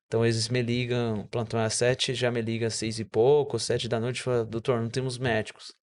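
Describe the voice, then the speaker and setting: A man's voice: mid-range voice, level tone, fairly quick, darkish tone. A man whose first language is Spanish, speaking as a doctor guest on a casual podcast and explaining things with frequent self-corrections.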